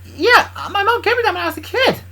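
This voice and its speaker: A woman's voice: big pitch swings, quick pace, bright, forceful tone, full character voice. A woman voicing a defensive, resentful young woman who rambles and stumbles over her words. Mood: eager. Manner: rambling, stumbling, high and strained. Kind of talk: improvised fan story voicing.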